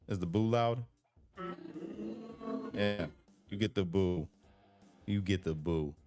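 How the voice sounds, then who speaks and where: Deep-voiced man hosting a live call-in show. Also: a little mellow. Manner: joking, teasing, reads chat aloud. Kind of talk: casual live-stream chat. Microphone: headphones with computer mic.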